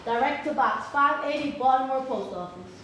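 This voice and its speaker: A woman's voice: sits high, lively intonation, moderate pace, fairly bright tone. A woman giving a dramatic reading as an earnest seeker appealing for a missing relative. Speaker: stage reader. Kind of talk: dramatic reading of newspaper notices. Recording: very echoey hall, faint noise.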